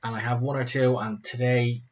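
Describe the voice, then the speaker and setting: A man's voice: mid-range voice, flat delivery, normal speed, highs cut off. A man with an Irish accent, co-hosting a podcast and reading a story aloud. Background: music.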